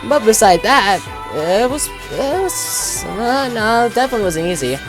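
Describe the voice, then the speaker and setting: A woman's voice: fairly high pitch, sing-song, normal speed. A woman giving casual, playful gameplay commentary as a video creator, with jokey, mock-dramatic asides. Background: music.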